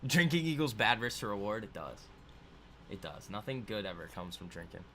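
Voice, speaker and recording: A man's voice: lowish voice, fairly quick, fairly bright tone, slight background noise. A man in his twenties hosting a casual trading livestream, rambling and laughing as he reads viewer comments aloud. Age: 20s.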